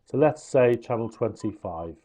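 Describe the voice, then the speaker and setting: Man, medium pitch, informal product reviewer, step-by-step explaining, hands-on demonstration. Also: lively intonation, normal speed, warm tone.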